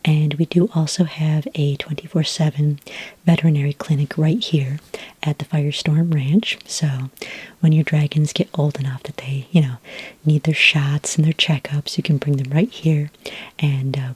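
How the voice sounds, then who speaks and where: A woman playing a patient, helpful fantasy dragon ranch expert in a whispered, breathy, hesitant ASMR roleplay. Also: low voice, steady tone, a little mellow, dry recording. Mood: gentle, reassuring. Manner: hesitant, unhurried explaining, frequent ums.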